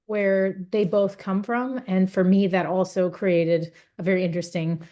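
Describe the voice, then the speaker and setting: A young woman talks through a video call in a mid-pitched voice. She speaks earnestly but haltingly, pausing on fillers and restarting her sentences as she feels her way forward. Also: steady tone, dark tone. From United States. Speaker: panelist. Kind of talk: webinar testimony.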